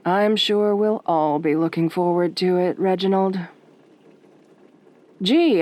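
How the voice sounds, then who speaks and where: A woman playing a wry, flirtatious late-night radio DJ, soft and velvety, dryly teasing in an audio roleplay. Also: medium pitch. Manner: dry, teasing, sardonic.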